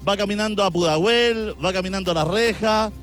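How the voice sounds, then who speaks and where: A man's voice: sits high, brisk, fairly bright tone, faint music behind. A man with a Chilean accent reporting live from a busy city avenue outdoors, talking conversationally and asking passers-by short questions. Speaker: street reporter. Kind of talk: live field news report with street interviews.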